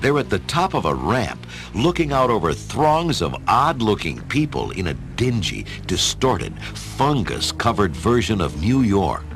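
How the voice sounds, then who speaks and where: A man's voice: fairly low pitch, wildly swooping pitch, a little slow, fairly bright tone. A man giving theatrical scripted narration for a radio adventure story. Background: music.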